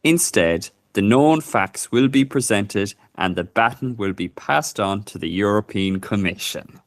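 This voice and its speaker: A man with a lowish voice talks hesitantly on a casual live stream, full of fillers and restarts. He reads a news report aloud. The sound is dry and close. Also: lively intonation, measured pace, dry recording. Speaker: co-host.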